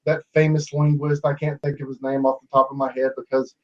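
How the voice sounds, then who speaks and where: Halting young man debating, lo-fi audio. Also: medium pitch, flat delivery, a little hiss or hum. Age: 20s. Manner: halting, many restarts and fillers. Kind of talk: casual online debate.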